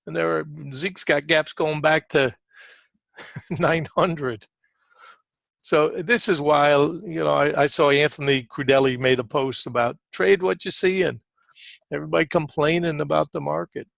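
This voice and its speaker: A man with a fry-laden, fairly high voice coaches traders in loose, conversational live commentary. He repeats short phrases for emphasis and clears his throat often. The sound is close and dry. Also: unhurried, a bit bright, no room sound. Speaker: trading coach giving market commentary. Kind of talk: informal live market commentary.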